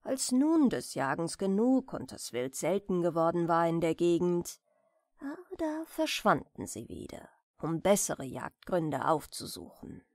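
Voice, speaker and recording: A woman reading a bedtime story aloud in a soft, gentle, measured storytelling manner. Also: fairly low pitch, very animated, no room sound, clean studio sound. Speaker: audiobook reader of bedtime stories.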